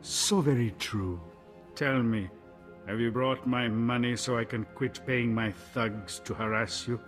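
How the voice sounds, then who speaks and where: A man playing a smooth, menacing crime boss in a video game, polite and measured with veiled threat. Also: medium pitch, a bit bright, soft background music, close to an everyday voice. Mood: suave.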